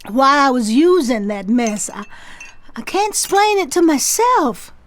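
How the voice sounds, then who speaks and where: A woman's voice: high voice, big pitch swings, measured pace. A woman playing a regretful, devout older woman in an audio drama, looking back in a plainspoken, reflective way. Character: regretful, devout older woman singer recalling her past.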